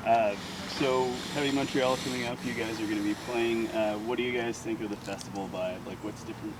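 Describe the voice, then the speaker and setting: A man interviewing a musician, asking relaxed questions with filler sounds. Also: medium pitch, brisk. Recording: loud traffic noise.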